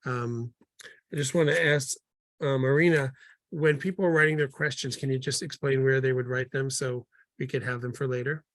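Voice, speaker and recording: A man's voice: medium pitch, fairly even intonation, normal speed, fairly bright tone, no room sound. A man moderating a panel on a video call, welcoming the guests with frequent fillers and repeated words.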